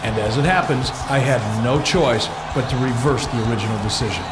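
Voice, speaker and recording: A man's voice: mid-range voice, a little fast, lightly acted. A man playing a stern, commanding general manager making formal rulings in a wrestling video game. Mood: firm, official. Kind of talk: arena announcement in a wrestling game cutscene. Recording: echoey arena microphone, loud music behind.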